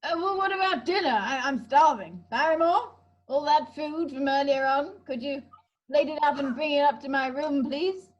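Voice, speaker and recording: A bossy, suspicious gentleman sidekick played by a woman in a comic radio play. The voice is very high and comes across as imperious and emphatic. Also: moderate pace, muffled recording, natural voice. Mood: demanding.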